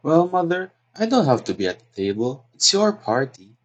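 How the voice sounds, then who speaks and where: A man's voice: fairly high pitch, very animated, normal speed, highs cut off. A man voicing a timid, reluctant young daughter in a plaintive way, in a stage play reading. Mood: reluctant, protesting.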